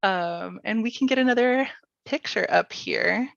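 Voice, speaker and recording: A woman's voice: mid-range voice, measured pace, vocal fry, no room sound. A woman hosting a casual storytelling workshop, playful and enthusiastic, on a close but dull-sounding microphone. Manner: playful, joking, enthusiastic about the material. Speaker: workshop host and presenter.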